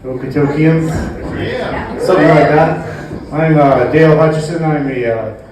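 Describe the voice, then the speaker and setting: Echoey man doing stand-up. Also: on the high side. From Canada. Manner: self-deprecating, halting. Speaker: stand-up comedian. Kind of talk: live stand-up comedy. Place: small bar comedy stage. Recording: roomy echoing club, distant from mic. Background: audience noise and laughter.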